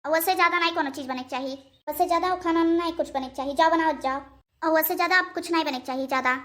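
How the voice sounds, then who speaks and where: A woman's voice: very high-pitched, racing, pushed and bright. A woman voicing a bossy, scolding old village mother-in-law with an Awadhi accent in a cartoon, hectoring with repeated demands. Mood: bossy, threatening. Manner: hectoring, repeating tags as threats. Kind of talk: cartoon dialogue.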